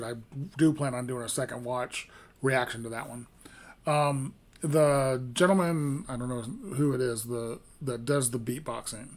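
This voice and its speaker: A man hosting a music reaction video, talking casually in a rambling, hesitant way with lots of fillers and restarts. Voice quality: fairly low voice.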